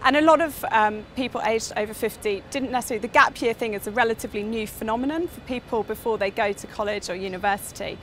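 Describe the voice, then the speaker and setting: A woman's voice: a little high, fast, few pauses, fairly bright tone, faint music behind. A woman interviewed as an industry expert, explaining thoughtfully.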